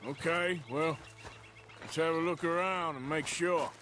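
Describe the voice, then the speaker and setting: An actor playing a hard-bitten, cynical outlaw gunslinger with an American Western accent and terse, folksy speech. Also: male voice, fairly high pitch, steady tone, a little slow, a little hiss or hum. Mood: wary. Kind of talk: naturalistic video game dialogue. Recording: loud music and hoofbeats behind.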